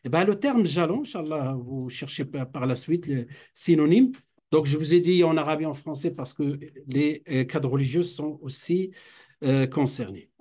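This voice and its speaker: A man teaches a class of students in a classroom. His voice sits a little high for a man, and he talks in a halting way, restarting sentences and dropping phrases into his French. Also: warm tone. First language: Arabic. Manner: hesitant, many fillers and restarts, mixes Arabic phrases. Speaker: teacher at an Islamic institute. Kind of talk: classroom lecture.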